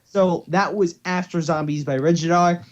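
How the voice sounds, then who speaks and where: A man's voice: fairly high pitch, steady tone, normal speed, a little hiss or hum. A man reading fan fiction as narrator and co-host, in an amateur, casual style, over slightly dull call audio. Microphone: computer or headset mic on a voice call.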